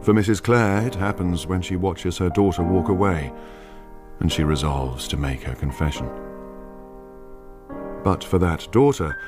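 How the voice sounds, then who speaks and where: Grave narrator, low voice, solemn storytelling for a radio play, piano music behind. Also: male voice, measured pace. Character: grave, literary male storyteller. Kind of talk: scripted drama narration.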